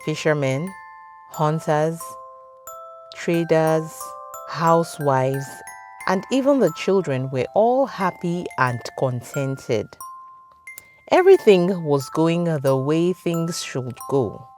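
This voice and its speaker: Slow, low-voiced Nigerian woman storyteller. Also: lively intonation, darkish tone, soft background music. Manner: dramatic, deliberate phrasing. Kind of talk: scripted folktale narration.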